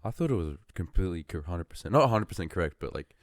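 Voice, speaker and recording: A man's voice: sits low, sing-song, fast, dry recording, studio quality. A man co-hosting a casual podcast, joking irreverently, sounding slightly sick with a nasal, sore-throated voice. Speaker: podcast co-host.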